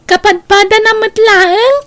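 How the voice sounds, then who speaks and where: A woman playing an excited, girlish daughter in an audio drama, with an Ilocano accent. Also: very high voice, measured pace, dry recording.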